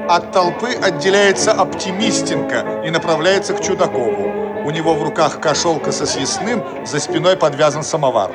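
A man's voice: on the high side, moderate pace, a loud crowd. A man narrating stage directions for a radio play in a scripted, theatrical storytelling style. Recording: archival radio play, loud music behind. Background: loud music.

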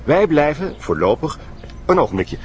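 A man's voice: a little high, sing-song, normal speed, a little hiss or hum. A man playing an eager, formal television reporter presenting on the scene in an audio drama.

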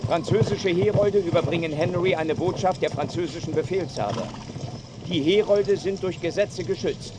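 A man reads dramatic battle narration in the present tense. His voice sits a little high for a man, with clearly noisy ambience behind him. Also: normal speed.

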